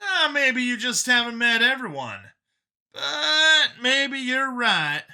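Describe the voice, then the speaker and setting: A man's voice: extremely high pitch, sing-song, slow pace, gravelly, sharp tone, clean tone, pitched above the performer's own voice, heavily acted. A man voicing a skeptical, homesick, plain-spoken male companion in an audiobook, with a questioning, challenging manner. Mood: doubtful.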